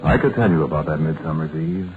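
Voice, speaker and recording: An actor playing a solemn soldier who tells his strange story in a measured, deliberate way, as in old radio drama. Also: rumbling low, over loud music. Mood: wistful, stirring. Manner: measured, deliberate, pausing mid-sentence. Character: modern soldier narrator, solemn, haunted storyteller. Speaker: narrator and lead character. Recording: tinny, noisy vintage radio recording.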